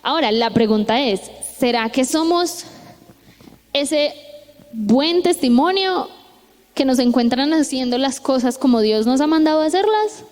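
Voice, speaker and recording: A woman's voice: sits high, unhurried. A young Colombian woman with a Colombian accent, preaching a chatty youth sermon with stories, often checking in with tag questions. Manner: chatty, frequent tag questions, lively retelling. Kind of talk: conversational sermon with storytelling. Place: church hall. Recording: echoey church hall.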